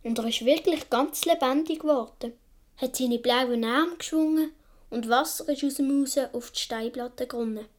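A woman's voice: high-pitched, moderate pace, close to an everyday voice. A woman playing a young Swiss boy who tells his childhood adventures vividly in a radio play, with a Swiss German accent. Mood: absorbed storytelling. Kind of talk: first-person radio play narration.

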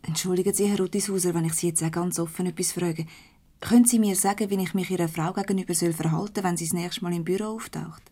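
An actress with a Swiss German accent playing a gossipy, jealous young secretary in a light radio comedy, chatty and pointed. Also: female voice, lowish voice, fairly even intonation, fast talker, warm tone, natural voice. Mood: guarded, pointed. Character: gossipy, sharp-tongued, jealous young female office secretary. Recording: noisy 1970s radio play recording.

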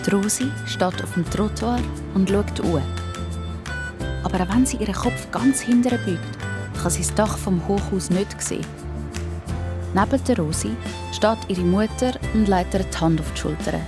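A woman's voice: medium pitch, moderate pace, over music. A woman with a Swiss accent narrating a scripted children's story as part of a radio play.

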